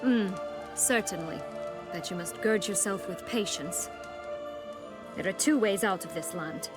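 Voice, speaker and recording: An actress playing a proud, bitter exiled noblewoman in a video game, with haughty, formal, measured speech. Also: mid-range voice, music in the background, lightly acted. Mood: brisk, imperious.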